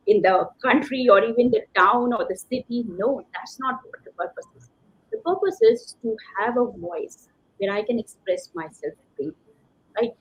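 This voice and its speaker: A woman giving emphatic, conversational motivational advice as a coach being interviewed. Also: mid-range voice. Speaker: career coach, interview guest. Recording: slightly dull lo-fi call audio.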